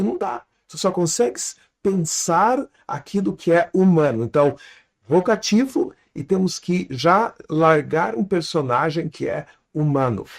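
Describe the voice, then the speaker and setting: A man in an interview explaining storytelling with examples, speaking Portuguese learned in southern Brazil with a foreign accent and an animated teaching manner. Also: fairly high pitch, gravelly. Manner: animated teacher, drops to quiet asides, tags lines with "tá?". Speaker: storytelling consultant, guest.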